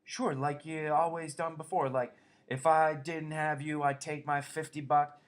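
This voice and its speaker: A man voicing a slow-witted, childlike grown man who pleads in a high, whining voice. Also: little pitch movement, lightly acted. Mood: eager.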